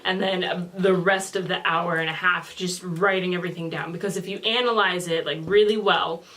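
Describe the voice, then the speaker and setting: Young woman with a lower voice, blunt. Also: steady tone, moderate pace, fairly bright tone, a little hiss or hum, low-quality recording. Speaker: student giving exam advice. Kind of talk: casual advice chat on camera. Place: small home room, indoors. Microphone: camera in front of two seated speakers.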